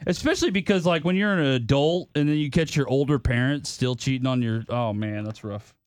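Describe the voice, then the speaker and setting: A man's voice: mid-range voice, a little fast, no room sound. A man co-hosting a radio show, reacting in casual comedic banter.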